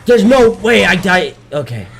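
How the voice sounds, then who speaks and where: A man's voice: high voice, big pitch swings, measured pace, gravelly, fairly bright tone, slight background noise. A man streaming a video game and chatting casually, his voice worn from daily streaming, rambling and correcting himself as he goes. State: losing his voice. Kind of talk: casual chat while gaming.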